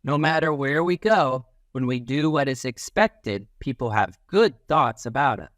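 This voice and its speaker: A man with a mid-pitched voice reads a children's book aloud like a classroom teacher. His delivery is very lively in pitch and instructive, stressing key phrases. The sound is dry and close, with little room to it. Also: unhurried, no echo. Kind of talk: expressive children's read-aloud.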